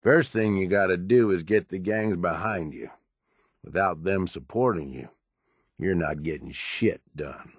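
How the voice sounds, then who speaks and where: An actor voicing a gruff, streetwise raider adviser in a video game, with a husky voice and a blunt, coaxing, profane way of talking. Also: male voice, low-pitched, a little slow, no echo, studio quality. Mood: explanatory, wry. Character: gruff, streetwise male raider right-hand man, pragmatic schemer. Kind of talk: video game character dialogue.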